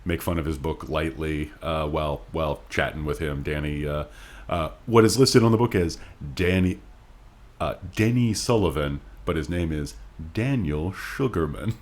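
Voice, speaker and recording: A man co-hosting a podcast, talking casually about books with joking, deadpan asides. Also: extremely low pitch, moderate pace. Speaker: podcast host.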